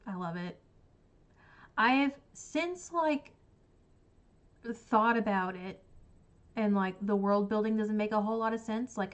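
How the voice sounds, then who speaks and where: A woman's voice: mid-range voice, lo-fi sound. A woman, congested and sniffly from a cold, chatting in a rambling way about books on a livestream. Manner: rambling, chatty, full of likes and ums. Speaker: book channel host. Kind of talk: casual livestream chat.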